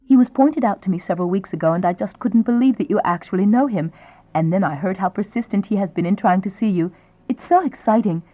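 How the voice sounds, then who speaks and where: A woman's voice: mid-range voice, quick pace, dry recording, close to an everyday voice. A woman voicing a young woman who chatters eagerly and gushes. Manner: eager, gushing, lively. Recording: tinny, phone-like sound.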